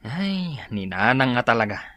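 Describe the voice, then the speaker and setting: A man playing a tipsy, slow-witted village drunkard with a slurred drawl in an Ilocano radio comedy drama. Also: medium pitch, sing-song, a bit bright, no echo. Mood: puzzled, protesting.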